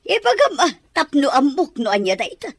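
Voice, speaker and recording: A woman's voice: high voice, big pitch swings, brisk, no room sound, clean studio sound. A woman acting an inquisitive, blunt big-sister figure in an audio drama. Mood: curious, sceptical.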